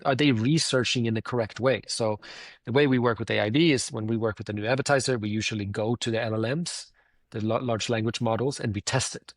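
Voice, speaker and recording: A Swedish-accented man speaking as a founder in a conversational interview, with a middle-pitched voice. He talks earnestly and keeps circling back to stress his points. The sound is dry and close, with no room echo. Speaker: company founder and guest.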